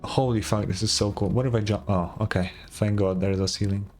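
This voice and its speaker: A man's voice: fairly low pitch, fairly even intonation, a little fast, darkish tone, faint music behind. A man giving casual, exclamatory gameplay commentary, swearing now and then. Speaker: gamer, video host. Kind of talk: casual gameplay commentary, reading on-screen dialogue aloud.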